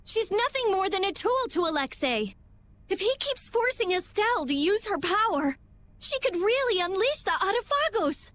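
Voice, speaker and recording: An actress voicing a sharp-tongued teenage girl mage who speaks bluntly and briskly. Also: very high-pitched, very animated, slight background noise, heavily acted.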